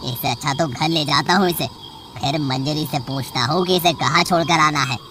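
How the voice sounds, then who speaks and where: A woman's voice: low-pitched, normal speed, over music, close to an everyday voice. A woman voicing an irritable, poor male snake charmer in a cartoon story, grumbling and blunt. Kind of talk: cartoon voice acting.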